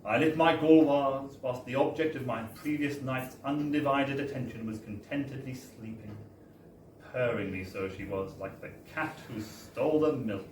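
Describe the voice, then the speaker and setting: A man's voice: medium pitch, normal speed, a little mellow. A man giving a theatrical, wry live reading of a comic first-person story, with emphatic tag phrases. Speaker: reader of a story at a book launch. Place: bar, live event. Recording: roomy, distant live recording with background noise.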